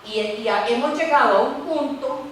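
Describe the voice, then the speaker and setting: Animated woman lawyer, roomy echo. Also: a little high, moderate pace, slight background noise. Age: middle-aged. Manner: animated, candid, many "¿verdad?" tags. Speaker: university lawyer. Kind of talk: panel talk. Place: lecture room. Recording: echoey room, voice from far off.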